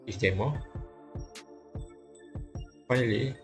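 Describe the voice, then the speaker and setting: A man reading visual novel text aloud in a halting way, often stumbling and repeating phrases. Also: medium pitch, over music. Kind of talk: halting read-aloud narration of game text.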